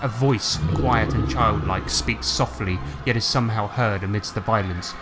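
A man's voice: on the low side, normal speed, loud music behind the voice. A man narrating a tabletop role-playing game as game master, on a noisy home recording.

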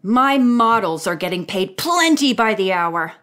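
A woman voicing an outraged male photographer in a storybook, barking forcefully and indignantly. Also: medium pitch, animated, a little slow.

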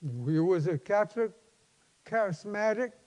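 High-pitched elderly preacher in a reverberant hall. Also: male voice, expressive, a little slow, a little mellow. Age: 70s. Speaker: pastor. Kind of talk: sermon with personal testimony. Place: large church sanctuary, at a lectern.

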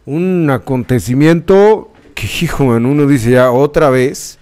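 A man, presenting radio news from a studio mic in a measured, emphatic way. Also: medium pitch, a little mellow, clear, resonant tone. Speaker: news anchor. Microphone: studio mic on arm.

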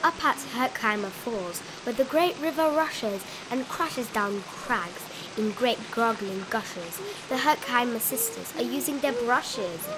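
Lady with highish voice reading kids rhyming book out loud, sing-song, bit of noise behind her. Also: lively intonation, measured pace, few pauses. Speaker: storybook reader. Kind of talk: read-aloud of a rhyming children's picture book.

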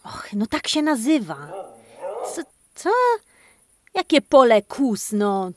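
A woman hosting a children's podcast, telling a playful interactive story in an animated, exclamatory way, speaking straight to kids and a dog. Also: on the high side, takes it slowly, noisy background. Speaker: host of a children's speech-exercise podcast.

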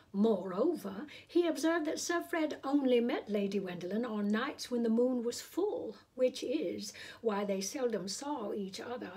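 A middle-aged woman reading a children's story aloud in a deliberate, theatrical, playful way. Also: medium pitch, dark tone, breathy edge. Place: small room indoors.

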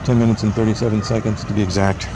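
A man's voice: on the low side, flat delivery, fast talker. An American man giving a casual, wry, rambling running commentary with joking asides as he films outdoors on his camera. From Texas, United States. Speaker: video blogger filming a public-space audit. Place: outdoors on a public sidewalk by a road. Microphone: handheld camera microphone, operator behind camera. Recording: outdoor handheld camera with street noise. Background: passing vehicles and outdoor ambience.

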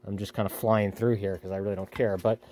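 A man's voice: fairly low pitch, little pitch movement, fast talker, warm tone, slight background noise. A man talking casually as he welds in his home garage, rambling and correcting himself often. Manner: rambling, self-correcting, offhand. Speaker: hobby welder, channel host. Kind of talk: casual workshop talk.

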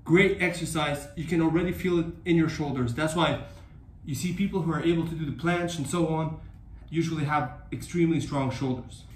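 A man's voice: fairly high pitch, fairly quick. A young man in his twenties giving casual home workout instructions, upbeat and direct, often checking in with "okay?" and "all right?", recorded by a camera on the floor of a tiled room. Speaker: fitness coach. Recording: echoey room with faint ambience.

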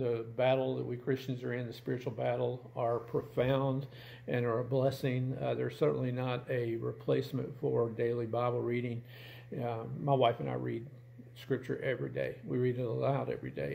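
A plain-spoken man in his sixties talking casually and haltingly to camera in a room at home, as host of his channel. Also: mid-range voice, fairly even intonation, creaky, mellow. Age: 60s.

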